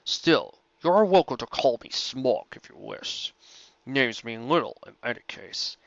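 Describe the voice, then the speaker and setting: Man voicing an ancient, courteous, measured dragon, dull recording. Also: medium pitch, big pitch swings, vocal fry, dry recording. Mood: calm, hospitable. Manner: formal, measured, deliberate. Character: ancient, huge male dragon, courteous, wise, unhurried.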